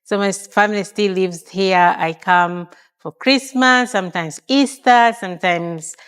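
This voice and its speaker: A woman's voice: mid-range voice, unhurried, fairly bright tone, clean tone. A middle-aged woman, answering casually as an interview guest. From Uganda. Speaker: interview guest, financial strategist.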